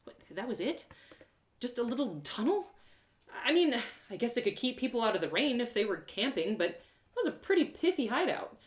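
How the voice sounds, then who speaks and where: A woman's voice: on the high side, big pitch swings, fairly quick, roomy sound, voice pushed up. A woman voicing a bold, curious young female adventurer in an audio drama, exclaiming and playfully talking to herself. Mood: unimpressed. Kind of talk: acted audio-drama dialogue.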